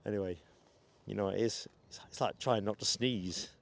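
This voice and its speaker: A man's voice: on the low side, normal speed, gravelly. An older man from England hosting a live stream outdoors at an airport, chatting casually, joking and laughing easily, with a slight cough. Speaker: livestream host. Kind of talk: casual chat.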